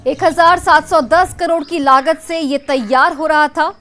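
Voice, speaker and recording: A woman's voice: high-pitched, steady tone, unhurried, a bit bright. A woman news anchor delivering breaking news in an emphatic, urgent way, repeating key phrases. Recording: music bed under the voice. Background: news music.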